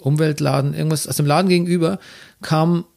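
A man's voice: on the high side, steady tone, fast, vocal fry, darkish tone. A man from Bavaria with a Bavarian accent, telling stories in casual conversation with frequent restarts. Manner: chatty storyteller, frequent restarts.

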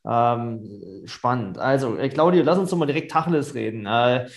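A man's voice: medium pitch, expressive, moderate pace. A man hosting an interview, hesitant with many fillers but enthusiastic. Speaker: podcast host.

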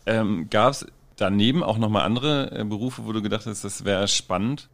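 A man's voice: lowish voice, moderate pace, gravelly, a crowd in the background. A man putting questions to a guest as interviewer, in a conversational style.

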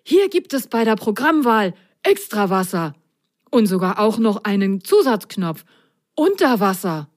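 Woman as mop-haired male creature, children's storybook, dreamy, curious, hesitant, unhurried delivery. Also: mid-range voice, darkish tone, lightly acted. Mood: excited.

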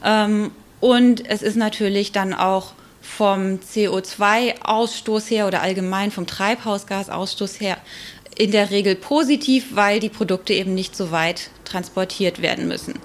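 A female researcher with a medium-pitched voice, speaking as an interview guest. She explains things off the cuff, measured and with small hesitant pauses. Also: fairly even intonation.

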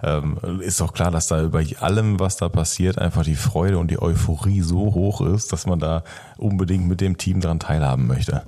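A man's voice: low-pitched, moderate pace, dark tone. A young man from Germany chatting casually as a podcast co-host, colloquial and often tagging questions onto his phrases. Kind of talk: casual chat.

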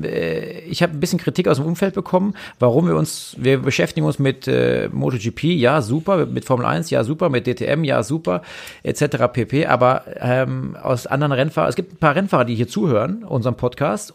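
A man co-hosting a motorsport podcast in casual chat, rambling and animated with many fillers. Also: medium pitch, a little fast, vocal fry. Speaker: podcast co-host, former racing driver.

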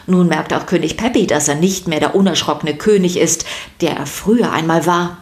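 A woman narrates a scripted story for children. Her voice sits a little low, and her delivery is expressive and playful. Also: moderate pace, faint music behind.

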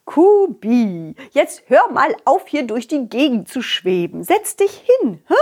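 A woman's voice: high-pitched, very animated, darkish tone, husky, voice pushed up, full character voice. A woman voicing a cheerful, hospitable king in a children's story, full of exclamations and stretched words. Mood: scolding fondly. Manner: exclamatory, leisurely, drawn-out words. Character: cheerful, hospitable king, fond host of a ghost.

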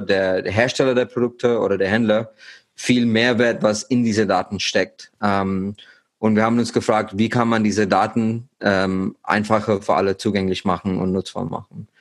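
Hesitant Indian-born man, low voice. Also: fairly even intonation, moderate pace. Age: 30s. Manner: hesitant, many fillers and restarts. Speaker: startup founder, guest. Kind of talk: podcast interview.

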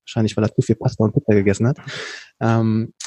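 A man from Berlin, a podcast guest, telling his story in a casual chat, often pausing with fillers and restarting sentences. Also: lowish voice, steady tone, warm tone, no room sound, poor audio. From Berlin, Germany. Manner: explains at length with frequent hesitation fillers and restarts. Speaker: podcast guest, company co-founder.

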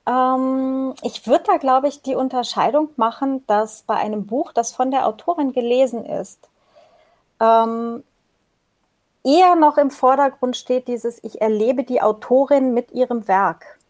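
A woman's voice: high voice, a little slow, darkish tone. A woman explaining her ideas at length in a casual discussion, with frequent fillers and restarts. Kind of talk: casual discussion about audiobook publishing.

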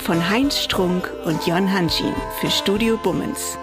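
A woman's voice: fairly low pitch, slow pace. A woman reading a scripted podcast promo announcement. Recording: loud music behind the voice. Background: music.

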